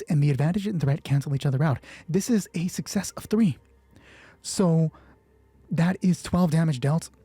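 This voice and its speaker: A man's voice: a little high, dark tone, breathy edge, dry recording. A man game mastering a solo roleplaying game, thinking aloud through dice rolls in casual, sweary commentary.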